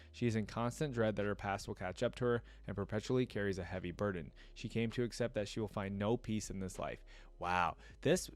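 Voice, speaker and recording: A man hosting a reaction video, reading text aloud and commenting on it in an informal, thinking-aloud way. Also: on the low side. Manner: informal, thinking aloud between read passages.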